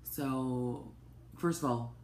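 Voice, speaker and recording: A woman, hoarse from a cold, giving a chatty, open personal update to camera with plenty of ums and asides. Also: rumbling low, very slow, darkish tone. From Canada. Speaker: vlogger. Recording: echoey room with faint noise.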